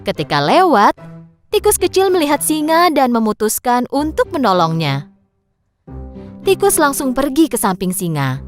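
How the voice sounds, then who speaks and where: A woman narrating a scripted children's story in an expressive storyteller's manner. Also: high-pitched, moderate pace. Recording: music behind the voice.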